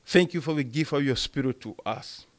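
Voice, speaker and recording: A man preaching a sermon and leading prayer in a small church, speaking emphatically with a strong voice that rises loud on key words. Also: medium pitch, a little fast. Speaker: pastor.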